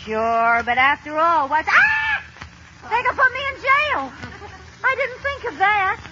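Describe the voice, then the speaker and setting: An actress playing a scheming, wheedling American housewife in a theatrical 1950s radio comedy style. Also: female voice, very high voice, huge pitch swings, measured pace, full character voice. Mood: alarmed. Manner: exaggerated, theatrical. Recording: muffled, noisy old radio recording.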